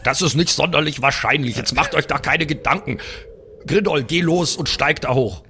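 A man's voice: a little high, normal speed, sharp tone, a little hiss or hum. A man chatting casually as a player in an improvised tabletop role-play. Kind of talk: casual improvised game chat. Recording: music behind the voice. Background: background music.

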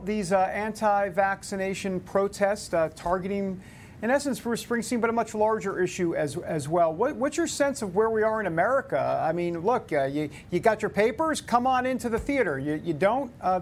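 A man's voice: sits high, lively intonation, moderate pace, a little hiss or hum. A middle-aged man from New York hosting a talk radio show, rambling and joking with plenty of filler. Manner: jokey, rambling, lots of filler uhs.

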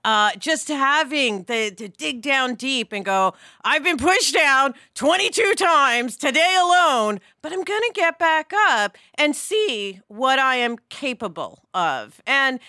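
A middle-aged woman co-hosting a podcast in an emphatic, expressive conversational style. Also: high-pitched, slow pace, few pauses, dry recording, clean studio sound. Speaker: podcast co-host. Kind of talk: animated conversational discussion.

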